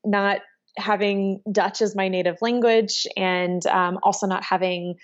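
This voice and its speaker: A woman in her thirties from Chicago, answering interview questions in a relaxed, thoughtful way with many ums. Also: medium pitch, measured pace. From Chicago, United States. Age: 30s. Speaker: interviewee, entrepreneur. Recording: dull lo-fi sound.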